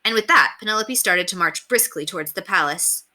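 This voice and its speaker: A woman narrating a children's story aloud in an expressive read-aloud style. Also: medium pitch, fairly quick.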